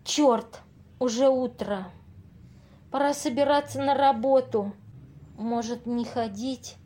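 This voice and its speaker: A woman's voice: high-pitched, slow, mellow. An actress in an amateur audio play playing a naive, curious young woman, questioning and a little hesitant.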